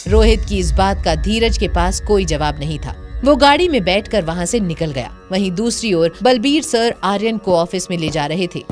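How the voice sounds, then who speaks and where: Female narrator, mid-range voice, dramatic scripted storytelling for an audio series, gentle music bed. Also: mid-range voice, animated, moderate pace, few pauses.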